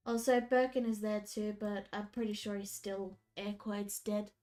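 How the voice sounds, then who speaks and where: A woman's voice: medium pitch, moderate pace, faint music behind. A woman game master narrating a tabletop game casually, sounding a little congested from a cold. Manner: casual, relaxed narration with fillers.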